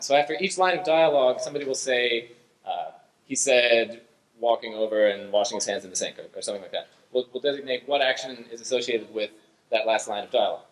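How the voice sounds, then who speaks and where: A man hosting a live improv show, explaining games in a hesitant, halting way. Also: medium pitch. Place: theatre stage in front of audience. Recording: echoey live stage room.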